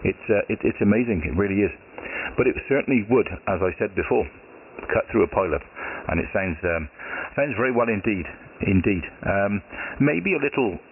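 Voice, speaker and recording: A British man with a very deep, low voice talks easily over amateur radio, filling his pauses with ums and restarts. His voice arrives through a tinny, narrow shortwave signal. A faint hiss runs underneath. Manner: relaxed, chatty, many ums and restarts. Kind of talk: casual radio chat.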